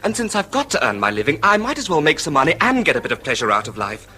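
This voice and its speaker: Bright-voiced, defiant young English son. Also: male voice, on the high side, wildly swooping pitch, fast talker, a little hiss or hum, full character voice. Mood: earnest, uneasy. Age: young adult. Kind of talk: period radio drama acting.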